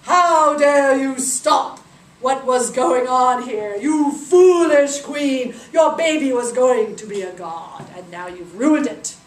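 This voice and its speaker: Imperious storybook goddess, put on by a woman, loud and slow; distant roomy recording. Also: high-pitched, expressive, pitched above the performer's own voice. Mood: scolding. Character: proud commanding goddess, imperious. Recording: roomy, distant mic, background noise.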